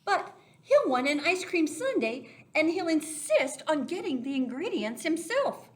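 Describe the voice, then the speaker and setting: A woman reading a picture book aloud to young children as a storyteller, expressive and deliberate, stressing key words and speaking directly to her listeners. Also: high voice. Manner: talks directly to young listeners, asking simple questions. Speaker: children's storyteller for a church Sunday school.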